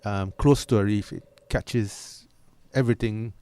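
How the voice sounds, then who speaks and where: A man speaking as a studio guest, explaining things earnestly and a little haltingly. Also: fairly low pitch, steady tone, mellow. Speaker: studio guest, conservation group representative. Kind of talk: explanatory interview talk. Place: radio studio.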